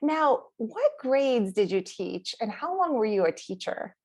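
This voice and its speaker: A woman's voice: on the high side, very animated, measured pace, darkish tone. A woman interviewer asking friendly questions and responding with encouraging, affirming remarks.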